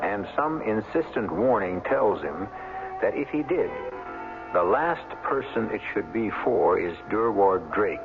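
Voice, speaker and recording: A man hosting and narrating a 1970s radio mystery with portentous, dramatic delivery and deliberate pauses, on a muffled old recording. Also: on the low side, creaky. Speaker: host and narrator.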